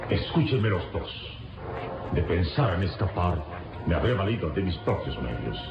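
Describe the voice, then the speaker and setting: A man's voice: deep voice, very animated, normal speed, background noise. An actor playing a noble, principled male adventurer in a radionovela, speaking in a solemn, formal, declamatory way. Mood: solemn, resolute. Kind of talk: radionovela acting. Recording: dull echoey radio drama with music behind.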